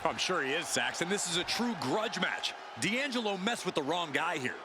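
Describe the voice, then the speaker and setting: Wry color commentator for a wrestling game, pitch swings around, very noisy arena. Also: male voice, big pitch swings, moderate pace. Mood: wry, opinionated. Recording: loud arena noise behind.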